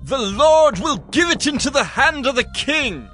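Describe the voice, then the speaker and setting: A man's voice: extremely high pitch, very animated, moderate pace, pushed and bright, soft background music, heavily acted. A man playing a fervent, exultant court prophet in a dramatized audio Bible, proclaiming and exhorting.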